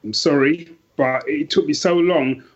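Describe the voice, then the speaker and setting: A man's voice: a little high, steady tone, measured pace, muffled recording. A man talking casually and enthusiastically about books as a podcast guest, emphatic and often restarting his sentences. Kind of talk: casual book chat.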